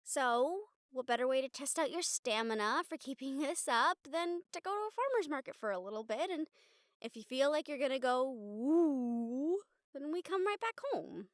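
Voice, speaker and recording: A young woman playing a bubbly, encouraging college student in a casual, chatty, expressive roleplay audio drama. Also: high voice, normal speed, dry recording, clean studio sound. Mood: encouraging, playful. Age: young adult. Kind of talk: roleplay audio drama acting, speaking to listener.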